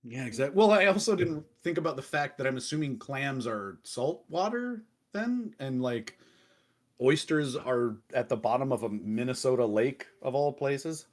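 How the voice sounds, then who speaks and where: A man's voice: medium pitch, expressive, moderate pace. A man hosting a podcast in casual chat, joking at his own expense and swearing freely. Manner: jokey, self-deprecating, swearing. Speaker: podcast host.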